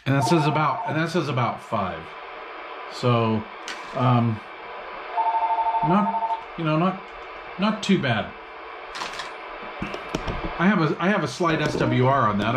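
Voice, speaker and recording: Guy rambling casually at his radio bench, slangy, mid-pitched voice, lots of static and chatter behind him. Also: expressive, a little slow, long pauses. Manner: rambling, thinking aloud, slangy. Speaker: hobbyist host demonstrating and testing an old CB radio. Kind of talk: casual unscripted bench-test chat. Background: CB radio receiver hiss and chatter.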